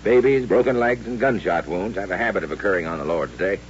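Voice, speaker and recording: A man's voice: deep voice, fast, natural voice. A man acting in an old-time radio drama as a plain-spoken, affable frontier doctor, frank and conversational, hesitating over delicate words. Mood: matter-of-fact. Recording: muffled old radio recording with hum.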